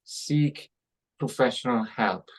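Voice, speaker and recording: A man from Hungary hosts this conversation comes through clearly. He talks at an unhurried, deliberate pace in a middle pitch, restarting phrases and repeating words as he works his way toward each question. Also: poor audio. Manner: halting, repeats words while forming questions. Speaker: podcast host. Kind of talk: interview questions.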